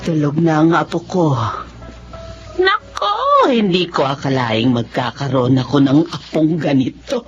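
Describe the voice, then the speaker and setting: An actress playing a forgiving elderly Filipino mother and grandmother in a radio drama, speaking tenderly and earnestly in drawn-out phrases. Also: deep voice, very animated. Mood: moved. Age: older. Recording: dull lo-fi audio with music underneath.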